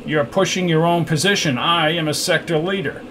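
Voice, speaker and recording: A man's voice: fairly high pitch, fairly quick, natural voice. A man voicing a firm, loyal rebel sector leader in an audiobook, terse and assertive, over faint hum.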